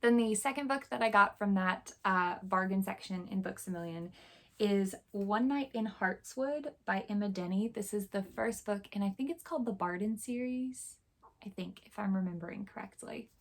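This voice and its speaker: Hoarse, chatty woman book vlogger. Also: medium pitch, normal speed. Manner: chatty and enthusiastic, with frequent fillers. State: hoarse, scratchy throat. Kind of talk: casual chat showing a book haul.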